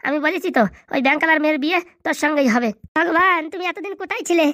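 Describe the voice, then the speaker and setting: A man voicing an excitable, cheeky young male cartoon buddy, with slangy bantering delivery and a pitched-up effect. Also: very fast, no echo, clean studio sound. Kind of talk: comedy cartoon dubbing in colloquial rural dialect.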